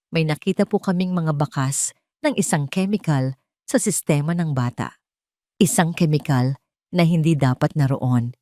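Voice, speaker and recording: A worried hospital doctor read by a woman narrator speaks with grave politeness in an audio drama. The voice is lowish and serious, with expressive pitch. The recording is very dry. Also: no room sound.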